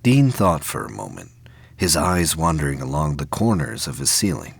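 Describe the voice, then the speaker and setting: A man reading scripted narration for a science fiction audio play, with a husky voice. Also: extremely low pitch, very animated, normal speed, dry recording.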